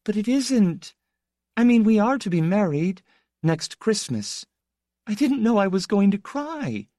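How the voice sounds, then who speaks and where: A man voicing a shy, emotional teenage schoolgirl in a high, soft falsetto, for an audiobook. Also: expressive, a little slow, no echo, studio quality. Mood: sobbing. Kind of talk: audiobook character voice.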